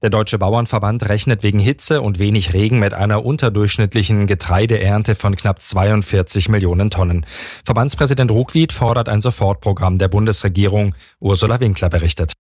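Low-voiced male radio newsreader. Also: a little mellow, no room sound, studio quality. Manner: measured, formal delivery. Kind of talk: radio news bulletin. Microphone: dry studio mic.

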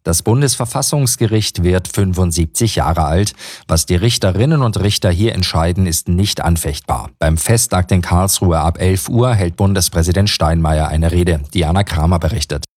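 Deep-voiced male radio newsreader. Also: husky, no room sound, studio quality. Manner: calm, measured, formal delivery. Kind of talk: radio news reading.

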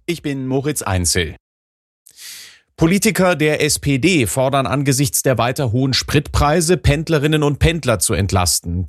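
A male newsreader presents a formal scripted bulletin. His voice is medium in pitch and recorded very dry. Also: no echo. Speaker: radio newsreader.

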